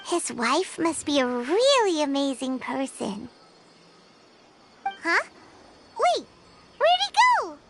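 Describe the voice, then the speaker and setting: A woman voicing a tiny, childish, excitable fairy companion in a video game. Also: high-pitched, slow pace, pauses often, over music, exaggerated voice. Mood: puzzled. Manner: childish, exclaiming, refers to self by name. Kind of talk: video game character voice acting.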